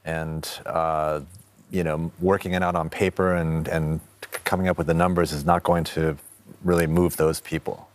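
A man offers commentary in an unscripted panel discussion. His voice is very deep and his delivery is halting and hesitant. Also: level tone, no room sound.

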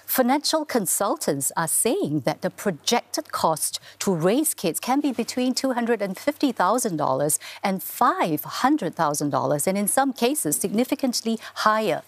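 A woman's voice: mid-range voice, big pitch swings, unhurried, few pauses, dry recording. A woman moderating a panel discussion as host.

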